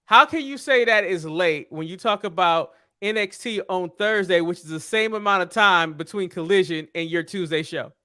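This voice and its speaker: A man's voice: high voice, normal speed, hardly any pauses, bright, forceful tone, clear, resonant tone, no echo, studio quality. A man co-hosting a podcast, teasing his co-hosts in casual banter. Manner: teasing, good-natured ribbing. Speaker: podcast co-host.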